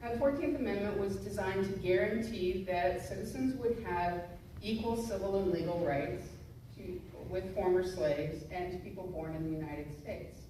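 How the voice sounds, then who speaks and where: A woman speaking as a federal prosecutor at a public presentation, explaining emphatically with rhetorical questions and deliberate phrasing. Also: mid-range voice, dark tone. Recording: distant, very echoey room, noisy live stream.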